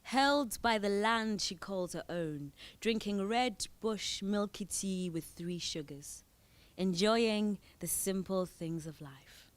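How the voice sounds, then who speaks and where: A woman's voice: medium pitch, slow pace. A woman from Zimbabwe who is a spoken word performer, reading her poems on stage with short spoken introductions. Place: indoor event venue.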